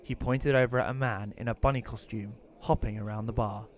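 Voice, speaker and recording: A man's voice: on the low side, fairly even intonation, brisk, close to an everyday voice. A man playing an awkward, reclusive widower who narrates his own audio drama in an informal, conversational way. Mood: reflective narration. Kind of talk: first-person audio drama narration and dialogue.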